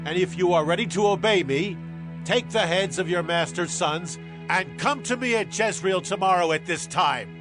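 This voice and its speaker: An actor playing a commanding, ruthless warrior who has seized the throne, declaiming forcefully in a dramatized Bible production. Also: male voice, high voice, expressive, moderate pace, low-quality recording. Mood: stern, challenging. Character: commanding, ruthless warrior usurper and zealous new king. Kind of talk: dramatized Bible audio acting. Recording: background music under the voice.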